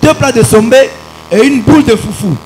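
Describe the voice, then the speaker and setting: A man's voice: extremely high pitch, moderate pace, a little mellow, slight background noise, low-quality recording. A man telling a nostalgic story as a radio show contestant. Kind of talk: anecdotal answer on a radio show.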